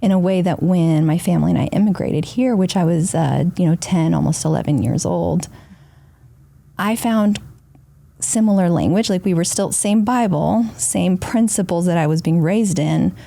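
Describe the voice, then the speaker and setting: A woman's voice: on the low side, normal speed, gravelly, mellow, no echo. A woman from Argentina, a podcast guest, talking reflectively in long flowing sentences. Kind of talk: casual podcast conversation.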